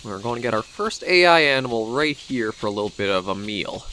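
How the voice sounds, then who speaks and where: A man's voice: medium pitch, animated, a little fast, sharp tone, a little hiss or hum, rough recording. A man with a Canadian accent who is coming down with a cold, giving casual, rambling gameplay commentary as he thinks aloud. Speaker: gaming video host.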